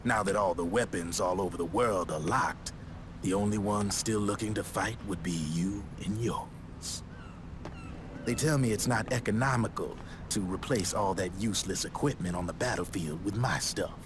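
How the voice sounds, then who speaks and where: Man playing a low-voiced, slick streetwise arms dealer. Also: moderate pace, natural voice. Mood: breezy, salesmanlike. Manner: slangy, smooth-talking, casual swagger. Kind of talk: dramatic video game voice acting. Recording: clear background noise and ambience.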